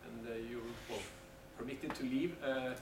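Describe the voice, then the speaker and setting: A man talks through the plans for a seminar day, pausing often and restarting his sentences. He sounds set back from the microphone in an echoey meeting room. A steady noise runs under his voice. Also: medium pitch. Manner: hesitant, many fillers and restarts. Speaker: seminar organizer. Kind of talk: announcements at a seminar. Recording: echoey room from far off, noisy.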